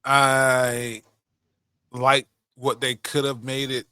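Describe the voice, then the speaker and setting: A man's voice: mid-range voice, level tone, drawn-out words, bright, forceful tone, clean tone, dry recording, studio quality. A man co-hosting a casual podcast about movies, talking in an informal, slangy way and often repeating his phrases. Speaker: podcast co-host. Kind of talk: casual movie discussion chat.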